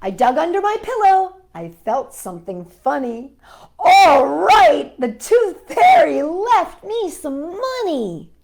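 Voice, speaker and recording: A woman hosting a children's storytime, reading aloud and chatting in a playful, expressive way and putting questions to her young listeners. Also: very high voice, slow, creaky. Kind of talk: animated read-aloud and chat for young children.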